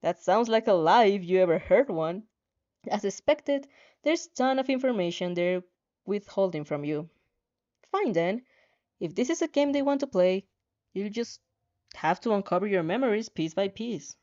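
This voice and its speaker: A woman narrating a story in an expressive amateur storytelling style. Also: medium pitch, moderate pace, dull audio. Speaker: fan fiction reader.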